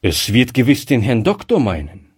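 A man's voice: medium pitch, wildly swooping pitch, normal speed, breathy edge. A man voicing a suspicious old colonel who speaks doubtfully and gives in grudgingly. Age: older.

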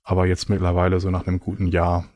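A man's voice: low voice, monotone, quick pace, darkish tone. A man giving reflective, explanatory answers in a casual conversational interview. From Germany. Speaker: interview guest.

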